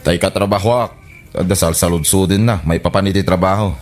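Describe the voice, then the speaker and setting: A man's voice: low-pitched, fairly even intonation, fairly quick, natural voice. A man with a Filipino accent playing a defensive, exasperated husband in a comedy radio drama, full of protests and exclamations. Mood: defensive, irritated. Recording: music behind the voice.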